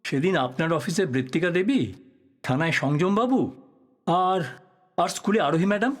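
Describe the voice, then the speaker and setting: Audio drama reporter, male actor: husky, lively pitch movement, courteous, inquisitive, quick to apologise and soothe. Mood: earnest, courteous. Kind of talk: Bengali audio drama acting.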